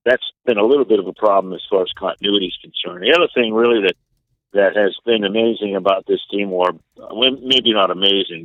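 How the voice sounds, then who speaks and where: A man giving sports analysis as an interview guest, explaining things in a measured, halting way. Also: deep voice, no echo. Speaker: radio play-by-play announcer, interview guest. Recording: narrow, tinny phone-like line.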